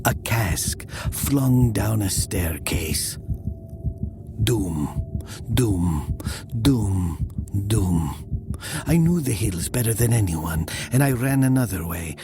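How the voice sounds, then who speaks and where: An actor playing a brooding, jealous young man, narrating his past in a slow, hushed audio drama style. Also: lowish voice, full character voice. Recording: music behind the voice.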